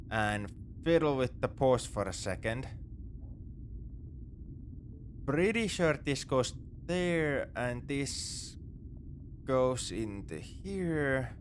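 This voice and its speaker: A man's voice: mid-range voice, a little hiss or hum. A man playing a wry, morally grey space pirate in a sci-fi ASMR roleplay, with a conversational, nonchalant, dryly teasing delivery. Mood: focused, practical. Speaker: audio roleplay performer.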